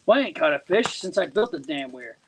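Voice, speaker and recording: A stubborn, salty fisherman snaps back at everyone who bothers him, voiced by a man reciting a rhyme. The voice is fairly high for a man and plainly defiant. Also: lively intonation, a little fast, a little hiss or hum, natural voice. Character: stubborn, feisty male fisherman.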